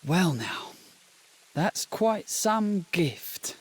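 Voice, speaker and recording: A man's voice: high voice, very animated, drawn-out words, husky, full character voice. A man playing an urbane, worldly warrior spirit in a fantasy video game, genteel, articulate and musing.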